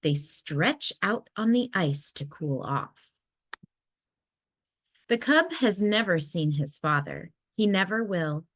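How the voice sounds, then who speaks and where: Lady doing a kids nature book read aloud, lowish voice, really slow and expressive, dry close sound. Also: no room sound. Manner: measured, expressive storytelling delivery. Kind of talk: children's nonfiction picture-book read-aloud.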